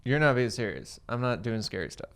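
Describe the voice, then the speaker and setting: A man's voice: medium pitch, sing-song, brisk, fairly bright tone. A man playing on a tabletop game show, chatting in a playful, informal way. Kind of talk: casual joking banter.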